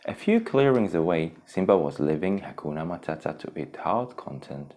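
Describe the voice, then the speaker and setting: A man narrating a children's storybook in a theatrical, expressive way. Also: deep voice, normal speed. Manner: theatrical, expressive, acts out exclamations.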